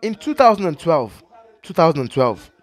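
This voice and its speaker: A man's voice: on the high side, very animated, normal speed. A man in his thirties with a Nigerian accent, vlogging casually and animatedly on a headset mic in a small room, repeating points for emphasis. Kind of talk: casual vlog monologue.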